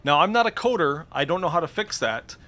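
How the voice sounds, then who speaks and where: A man's voice: a little high, lively intonation, fairly quick, fairly bright tone. A man giving casual, informal screen-share commentary as a game streamer, swearing freely. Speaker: streamer demonstrating game add-ons.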